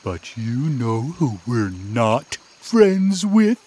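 A woman's voice: a bass voice, sing-song, very slow, warm tone, exaggerated voice. A woman playing a big forest animal with teasing menace in a children's radio play. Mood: slyly menacing.

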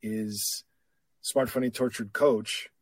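A middle-aged man, a coach and podcast guest, talking candidly and reflectively in a relaxed conversation. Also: on the low side, takes it slowly, husky, no room sound, studio quality. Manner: reflective, candid, self-deprecating. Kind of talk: casual conversation.

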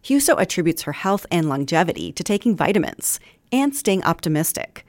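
An American woman reporter reads a scripted news feature. Her voice is on the low side for a woman, recorded close and dry. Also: big pitch swings, brisk, dry recording. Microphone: close studio microphone.

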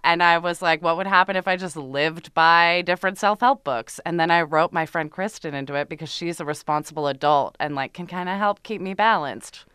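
Comedian lady chatting, bright clear voice, self-deprecating, super candid, casual guest. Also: on the low side, a little fast, few pauses, dry recording, studio quality. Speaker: comedian and podcast co-host, interview guest. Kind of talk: casual chat.

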